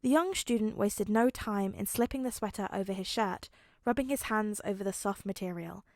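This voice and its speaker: A woman's voice: medium pitch, moderate pace, no echo. Woman reading fanfiction aloud, dry recording. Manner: expressive narration with voiced dialogue. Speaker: podfic reader.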